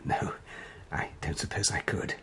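An actor playing a gentle, loyal, bookish friend at a sickbed, speaking softly and soothingly in a radio drama. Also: male voice, whispered. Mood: consoling, earnest. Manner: soft, soothing, measured bedside delivery.

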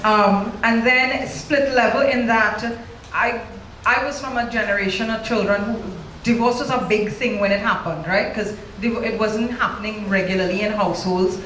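A woman's voice: medium pitch, fairly even intonation, moderate pace, fairly bright tone. A middle-aged woman from Trinidad with a Trinidadian accent, an author speaking candidly into a handheld mic before a large audience, often ending lines with "right?". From Trinidad and Tobago. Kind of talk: live onstage conversation. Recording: roomy hall with faint noise.

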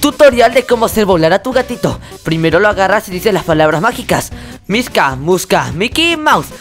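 A man with a Latin American accent voicing an excitable, foul-mouthed, clownish cartoon critter in a comedic fandub. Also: sits high, a little fast. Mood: playful. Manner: exclamatory, clownish. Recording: loud music over dubbed voice. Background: loud music.

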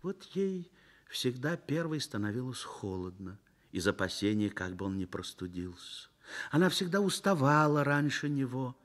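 A man reading scripted literary narration for a Soviet radio drama in an expressive, measured storytelling manner. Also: mid-range voice.

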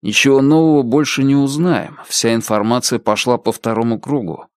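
A man voicing a tired, impatient detective thinking to himself in a weary, brisk way. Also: mid-range voice, steady tone, no echo, clean studio sound, close to an everyday voice.

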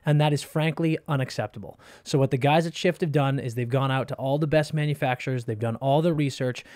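Casting note: male podcast co-host, thirties, punchy pitchman energy, slightly higher voice, dry close-miked studio sound. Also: a little mellow, no room sound. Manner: hyped, emphatic pitchman delivery. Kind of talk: hockey podcast banter and sponsor read. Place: studio with brick and wood walls. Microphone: boom-arm podcast mic.

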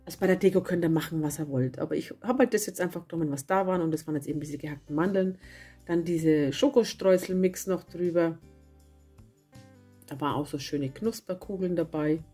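A woman with a cold and a hoarse voice, chatting casually as she narrates a home baking video and correcting herself as she goes. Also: on the low side, fairly quick. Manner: chatty, self-correcting, homely. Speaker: cooking channel host. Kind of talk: casual recipe voice-over. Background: soft guitar music.

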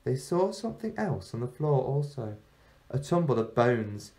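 A man reading a story aloud like a teacher, in measured phrases with deliberate pauses. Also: medium pitch, sing-song, mellow. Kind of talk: storybook narration.

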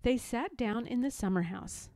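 A woman reading a children's storybook aloud as an expressive narrator. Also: a little high, moderate pace, no room sound, clean studio sound. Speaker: storybook reader.

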